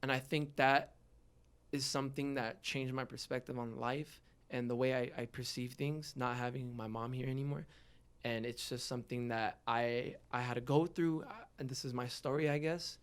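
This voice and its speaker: A man's voice: medium pitch, fairly even intonation, moderate pace, clean tone. A man in his early twenties talking openly and reflectively as a guest in a casual podcast interview, often adding "you know". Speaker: guest, rapper.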